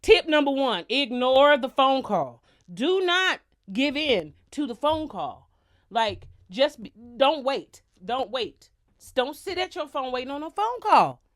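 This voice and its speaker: Young American woman vlogger, animated, chatty, talks straight to viewers, a touch high-pitched. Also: measured pace. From United States. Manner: lively, chatty, lots of slang and asides to viewers. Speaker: vlogger, singer. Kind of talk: casual storytelling to camera.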